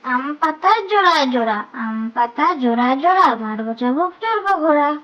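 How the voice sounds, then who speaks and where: A woman reciting children's nursery rhymes in sing-song, measured phrases. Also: sits high, lively intonation, dull audio.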